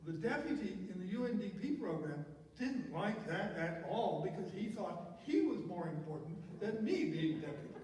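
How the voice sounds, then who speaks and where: A man's voice: high-pitched, big pitch swings, moderate pace, few pauses, darkish tone. An older man telling personal career stories to an audience in an emphatic way, often repeating words for effect. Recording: very echoey hall, distant mic, faint noise.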